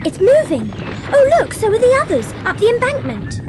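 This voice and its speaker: A woman playing an excitable, outspoken young girl, speaking emphatically in a dramatised audiobook. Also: very high voice, moderate pace, background noise, heavily acted. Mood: startled.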